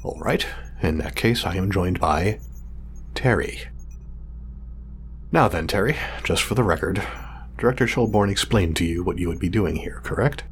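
A low-voiced, proud male inventor acted by a man in a sci-fi audio drama. He talks formally and measuredly, with condescending exactness, over a clearly audible lab hum. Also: expressive, moderate pace, long pauses. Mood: formal, exacting. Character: pompous male craftsman of artificial companion drones, exacting, proud. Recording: clear background noise, sci-fi lab ambience.

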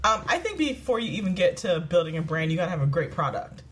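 A woman's voice: lowish voice, sing-song, fast talker. A woman speaking as a guest in a casual interview, explaining things in an informal, conversational way. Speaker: guest, popsicle business owner.

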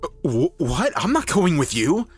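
Anxious everyman husband, voiced by a male narrator: evasive, halting, pleading, audio thriller with music behind. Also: fairly high pitch, sing-song, breathy edge, voice pushed up, heavily acted. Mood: panicked. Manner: stammering, hesitant, pleading. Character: nervous husband lying to police, anxious and evasive.

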